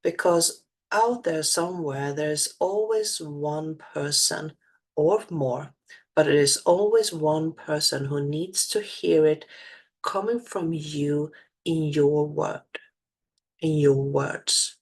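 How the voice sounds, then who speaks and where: A low-pitched woman with a Swedish accent talks slowly in a reflective solo monologue. English is her second language, and she pauses, repeats and searches for the right words as she goes. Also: darkish tone, slightly rough. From Sweden. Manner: searching for words, many restarts and fillers. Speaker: spiritual channeler.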